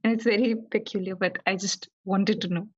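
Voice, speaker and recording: A woman's voice: mid-range voice, steady tone, a little fast, slightly rough. A woman interviewing a guest, asking courteous and slightly hesitant questions. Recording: dull lo-fi audio.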